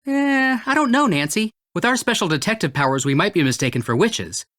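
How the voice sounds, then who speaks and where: A man's voice: high-pitched, very animated, fairly quick, fairly bright tone, dry recording, studio quality. An actor playing a wry, joking young male detective in a video game. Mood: wry, playful.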